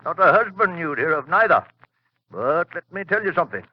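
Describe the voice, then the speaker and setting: Old New country wizard, sly and half-mad, highish swooping voice, muffled vintage radio drama. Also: male voice, big pitch swings, a little fast, dry recording. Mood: cryptic, boastful. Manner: folksy, cryptic, rustic dialect. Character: old rustic half-insane wizard, sly and boastful grandfather. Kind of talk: 1940s radio drama acting.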